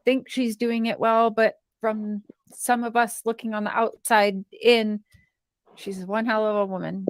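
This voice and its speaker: A woman chatting casually in an earnest, protective and halting way, as on a livestream. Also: a little high, little pitch movement, no echo.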